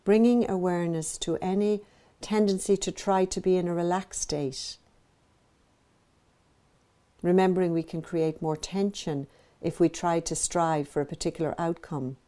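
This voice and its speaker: A woman meditation teacher narrating a guided practice in a gentle, measured, soothing manner, pausing between phrases. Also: lowish voice, steady tone.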